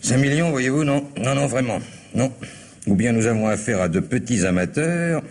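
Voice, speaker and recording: An actor in an old French radio mystery playing a self-assured, wry senior police commissioner with a husky voice and measured, insistent delivery. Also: male voice, medium pitch, expressive, darkish tone. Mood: wry, reasoning. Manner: measured, insistent, short repeated phrases.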